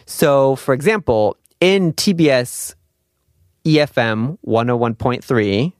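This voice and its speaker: A man's voice: mid-range voice, slow pace, no echo, clean studio sound. A man teaching an informal language lesson in a conversational, explanatory way, putting questions to the listener as he goes. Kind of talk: informal spoken lesson with examples and quiz questions.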